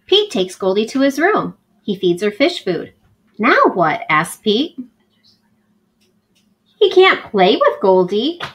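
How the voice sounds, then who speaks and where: A woman reading a picture book aloud to children from home, animated and gentle, pausing to ask her young listeners questions. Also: medium pitch. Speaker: school librarian reading aloud. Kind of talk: children's read-aloud storytelling.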